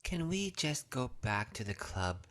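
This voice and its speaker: A man's voice: medium pitch, very animated, measured pace, fairly bright tone. A man voicing a vain, impatient vampire clubgoer in a comic-book cartoon. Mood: impatient, bored. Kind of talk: motion comic voice acting.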